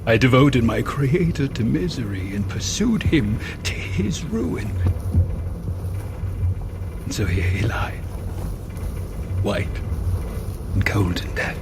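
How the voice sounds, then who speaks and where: A remorseful monster in an audio drama, speaking slowly over clear background noise. The delivery is grand and bitter, with anguish in a solemn, old-fashioned declamation. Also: male voice, mid-range voice, very animated, heavily acted. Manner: slow, solemn, archaic declamation.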